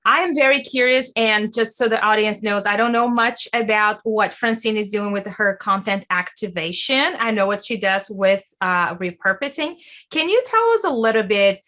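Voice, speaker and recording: Bright-voiced enthusiastic woman host. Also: medium pitch, moderate pace. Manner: warm enthusiastic, loose grammar with restarts. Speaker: podcast host. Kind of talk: casual interview.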